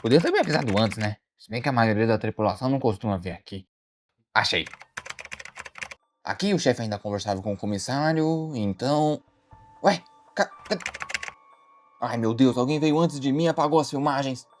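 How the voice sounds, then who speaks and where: A man playing an earnest, easily startled detective in an animated mystery short, theatrical as he deliberates aloud. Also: mid-range voice, faint music behind, lightly acted. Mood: puzzled, alarmed. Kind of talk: dramatic monologue, animated short voice acting.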